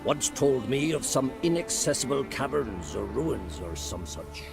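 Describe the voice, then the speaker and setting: Wry, grumpy old druid. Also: male voice, medium pitch, big pitch swings, measured pace, gravelly, music in the background. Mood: wry, disapproving. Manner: wry, sententious. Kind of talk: video game voice acting.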